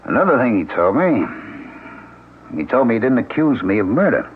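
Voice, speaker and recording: A man's voice: mid-range voice, big pitch swings, moderate pace, a little hiss or hum, close to an everyday voice. A man playing a paranoid bitter husband. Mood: cold. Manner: bitter, accusing, sarcastic. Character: paranoid suspicious middle-aged husband Max. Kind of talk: 1970s radio drama acting. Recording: tinny old radio broadcast with music.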